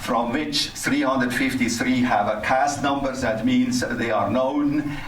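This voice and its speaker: An older man giving a formal, scholarly prepared address at a public meeting, speaking haltingly. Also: mid-range voice, steady tone. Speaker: resident speaking at public comment, cancer researcher. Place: community center meeting hall. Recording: roomy, distant mic, faint noise.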